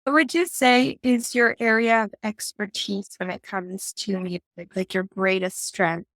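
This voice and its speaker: A woman's voice: medium pitch, steady tone, unhurried, fairly bright tone, no echo. A woman hosting an interview podcast, giving introductions and asking prepared questions.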